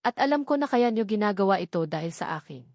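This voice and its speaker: A woman's voice: mid-range voice, expressive, fast, a little mellow, no echo, studio quality, lightly acted. A woman voicing an indignant, anguished woman in a story, emphatic and confrontational. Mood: anguished, accusing.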